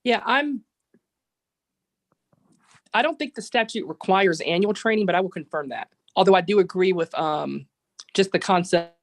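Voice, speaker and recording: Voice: medium pitch, normal speed, pauses often. An adviser speaking carefully at a formal board meeting, qualifying each point as they go. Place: virtual meeting call.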